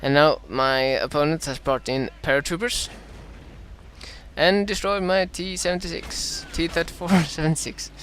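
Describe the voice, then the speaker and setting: A man from Sweden with a Swedish accent and a dry throat, casting his own strategy game match in a halting way, full of "uh"s and self-corrections. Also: a little high, steady tone, fairly bright tone, slight background noise. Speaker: gameplay commentator.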